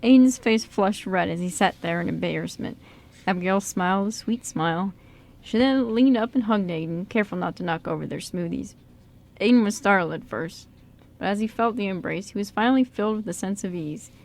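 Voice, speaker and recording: A woman's voice: medium pitch, a little fast, gravelly, darkish tone. A woman reading scripted story narration for an amateur radio drama. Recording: some background noise and room ambience.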